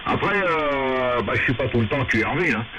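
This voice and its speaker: A man's voice: mid-range voice, lively intonation, normal speed. A man making casual amateur radio conversation, hesitant, repetitive and informal. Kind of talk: casual radio contact chat. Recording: very noisy radio transmission.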